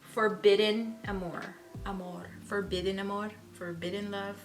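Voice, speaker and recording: Soft spoken lady doing a makeup tutorial, mid pitched, all excited about every shade. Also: measured pace. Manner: gushing, enthusiastic about products. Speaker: beauty vlogger. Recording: background music under voice. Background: music.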